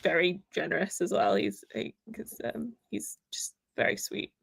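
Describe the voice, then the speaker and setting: A woman's voice: lowish voice, big pitch swings, normal speed, creaky, breathy edge, dry recording. Giggly woman with a mixed Welsh accent. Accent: mixed Welsh, northern English and Northern Irish. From Wales. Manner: chatty, giggly, lots of fillers. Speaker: livestream co-host. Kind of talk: casual chat, reading viewer comments.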